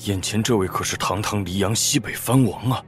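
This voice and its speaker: A man's voice: fairly low pitch, fairly quick, soft background music, close to an everyday voice. An actor playing an awestruck, nervous Taoist trickster who speaks humbly and colloquially to his lord in a Chinese wuxia audio drama. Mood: awed, anxious. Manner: deferential, fawning, colloquial.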